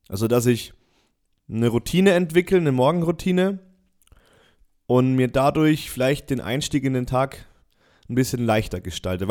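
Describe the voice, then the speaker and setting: A man's voice: medium pitch, a little mellow. A young man, a student, co-hosting a casual podcast with dry, teasing remarks. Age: young adult. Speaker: podcast co-host. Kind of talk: casual chat.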